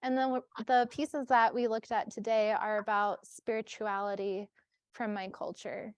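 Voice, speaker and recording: A woman's voice: mid-range voice, flat delivery, moderate pace, clean tone. A woman giving a casual talk to a school group as a guest artist, encouraging and often echoing back what she hears.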